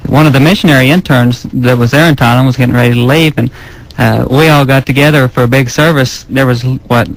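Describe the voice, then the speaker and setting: Measured man telling a story. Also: mid-range voice, fairly even intonation, slight background noise, poor audio. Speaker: interview guest, missionary friend. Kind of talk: recounting a story.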